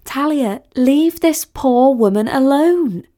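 A woman's voice: sits high, moderate pace, a little mellow, pitched above the performer's own voice, natural voice. A woman voicing a sensible, embarrassed young woman in a storybook, reasoning and pleading in a weary manner. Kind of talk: acted dialogue in a storybook reading.

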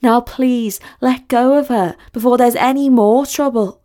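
A woman's voice: fairly high pitch, fairly even intonation, unhurried, mellow, voice pushed up, lightly acted. A woman voicing a sensible, embarrassed young woman in a storybook, reasoning and pleading in a weary manner. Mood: weary, firm. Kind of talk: acted dialogue in a storybook reading.

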